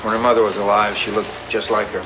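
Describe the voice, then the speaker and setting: An actor playing a nervous, grieving middle-aged army veteran father in an audio drama, halting and uncomfortable. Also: lowish voice, flat delivery, over music, close to an everyday voice, rough recording. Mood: subdued, uneasy.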